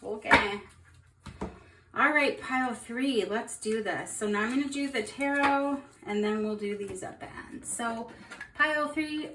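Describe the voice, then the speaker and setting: Young woman, casual tarot reader: upbeat, rambling, slightly hoarse; higher voice, faint room noise. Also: fairly bright tone, recorded from a distance. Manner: chatty, upbeat, rambling with fillers. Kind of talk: casual tarot reading to camera. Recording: roomy echo, off-mic.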